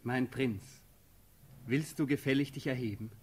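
An actor playing a gentle cavalry officer in a classical radio play, speaking formally and deferentially. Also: male voice, medium pitch, steady tone, measured pace, a little hiss or hum, close to an everyday voice. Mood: courteous, solemn. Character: gentle, courteous cavalry officer attending a prince.

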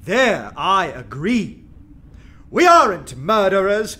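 An actor playing a bold, sardonic poet posing as a fierce anarchist, oratorical and wry in a radio play. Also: male voice, high voice, sing-song, slow, sharp tone, breathy edge, full character voice. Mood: fiery oratory. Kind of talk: theatrical radio drama acting. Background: dramatic music.

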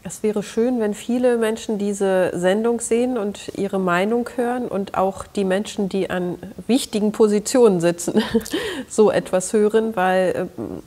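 A woman in her thirties hosting a studio interview, filling pauses with many hesitations. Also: medium pitch, warm tone. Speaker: talk show host.

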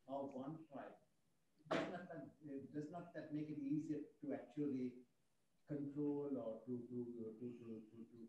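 A man asking questions during an online class, halting and repeating words as he searches for them. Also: mid-range voice, mellow. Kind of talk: class discussion. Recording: echoey, distant, muffled with hiss.

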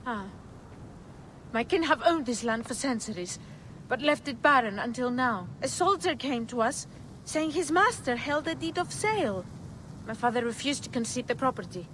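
A woman's voice: a little high, animated, moderate pace, fairly bright tone, a little hiss or hum, poor audio. An actress playing a grieving, stubborn young farm woman who speaks in a guarded, resolute way.

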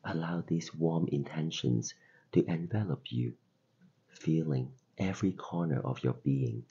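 A man leading a guided meditation as a podcast host, speaking in gentle, soothing, deliberate phrases with pauses between them. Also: rumbling low, husky, lo-fi sound.

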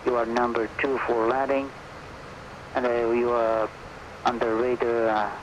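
A man, an air traffic controller, reading out clearances with frequent pauses. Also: medium pitch, little pitch movement. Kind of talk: radio clearances. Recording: muffled radio with static.

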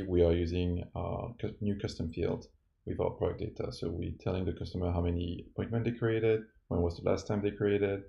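Very gravelly, low-voiced man presenting a demo. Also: warm tone, muffled recording. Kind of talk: scripted tutorial narration over a screen recording.

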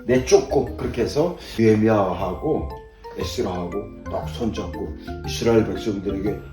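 A man's voice: lowish voice, normal speed. An older man, a pastor grandfather, telling Bible stories to grandchildren in a conversational, simply explained way. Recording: roomy echo, distant mic, faint music.

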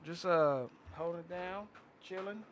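High-pitched man in casual podcast chat. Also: measured pace. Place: small indoor podcast studio.

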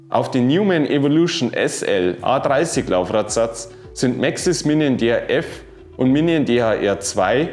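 A man in his thirties giving a scripted bike review, reading specifications in an even, informative way. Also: medium pitch. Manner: even, informative delivery dense with specs. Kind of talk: scripted product review narration. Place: wooden-walled workshop room, indoors. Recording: roomy sound with faint music.

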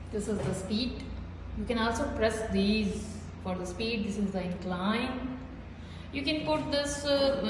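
Woman vlogger, unhurried and repetitive, phone mic in a boomy room with a long reverb tail. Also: slight background noise. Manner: simple repetitive pointing-out explanations. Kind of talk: casual gym tour vlog. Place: small indoor building gym. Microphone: phone held while filming. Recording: echoey room with hall reverb.

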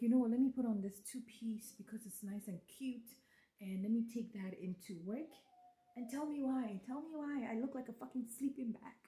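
A woman's voice: mid-range voice, roomy sound. Chatty young woman vlogging at home. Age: 20s. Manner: chatty, laughs often, animated asides to viewers. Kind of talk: casual vlog chat. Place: small apartment kitchen and bedroom indoors. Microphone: handheld camera at arm's length.

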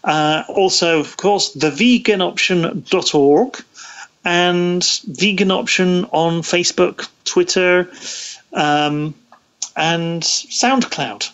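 A man in his thirties talking as an interview guest in a thoughtful, halting way, restarting his phrases. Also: high-pitched, a bit bright, dull audio. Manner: hesitant, thoughtful, halting delivery with stammered restarts. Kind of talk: casual interview conversation.

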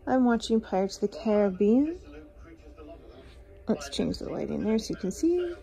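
A woman in her thirties, a mother, vlogging casually on a phone with a chatty, relaxed manner. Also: a little high, normal speed, creaky. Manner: chatty, relaxed, gently encouraging. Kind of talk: casual vlog narration. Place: cruise ship dining room and cabin.

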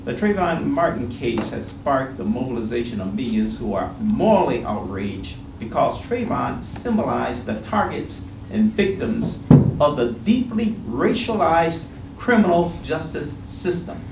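A middle-aged American man speaking on a panel, reading a prepared statement with asides in an emphatic, deliberate, sometimes halting way. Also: medium pitch, big pitch swings, gravelly. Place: indoor panel room. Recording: roomy, distant microphone, noisy background.

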